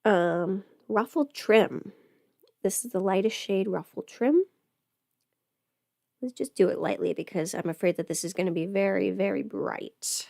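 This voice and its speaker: A woman talks in a casual, chatty livestream style, rambling and thinking aloud in a playful way. Her voice sits at a medium pitch and is recorded close and dry. She is a little under the weather. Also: measured pace, gravelly, a little mellow, no echo. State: sick with a cold or illness. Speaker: livestream host and audio performer. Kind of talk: casual makeup livestream chat.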